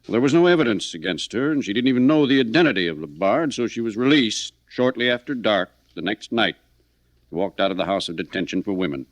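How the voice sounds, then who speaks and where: A man's voice: fairly low pitch, animated, fast, no echo, lo-fi sound, close to an everyday voice. A man playing an authoritative, matter-of-fact police chief in scripted 1940s radio narration.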